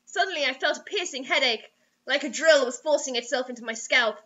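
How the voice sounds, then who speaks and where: Young woman, high voice, hamming up a dramatic read-aloud with wry asides; lo-fi webcam recording. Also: a little fast, bright, forceful tone. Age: young adult. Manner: theatrical, overwrought. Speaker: co-host reading bad fiction aloud. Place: small indoor room. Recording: dull lo-fi webcam sound.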